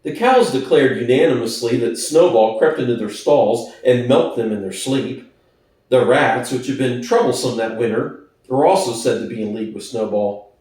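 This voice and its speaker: A man's voice: medium pitch, normal speed, a little mellow. A man reading a classic novel aloud as a dramatic scripted narration. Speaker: audiobook narrator. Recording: very echoey room, distant from the mic.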